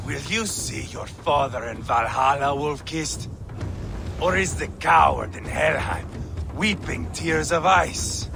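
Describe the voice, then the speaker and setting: A manic, creaky-voiced Viking villain. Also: male voice, high voice, huge pitch swings, slow, long pauses, background noise, exaggerated voice. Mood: cruel taunting. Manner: theatrical, mocking, shouting outbursts. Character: taunting, bloodthirsty, manic Viking warrior villain. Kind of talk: dramatic video game acting.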